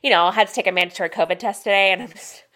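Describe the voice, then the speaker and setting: A woman in her forties co-hosting a podcast, chatting candidly and excitably. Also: mid-range voice, very fast. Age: 40s. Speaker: podcast co-host. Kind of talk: casual chat.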